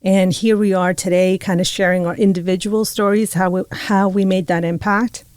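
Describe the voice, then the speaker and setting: A woman's voice: mid-range voice, steady tone, moderate pace, a little mellow, dry recording, clean studio sound. A woman who is a guest on a podcast panel, speaking reflectively about raising her daughters. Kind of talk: casual panel conversation.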